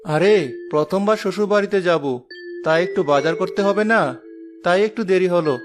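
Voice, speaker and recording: A man's voice: sits high, fairly quick, close to an everyday voice. A man playing a kind, reassuring young imam in a cartoon. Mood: cheerful. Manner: caring, reassuring. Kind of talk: cartoon dialogue dub. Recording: music clearly behind the voice.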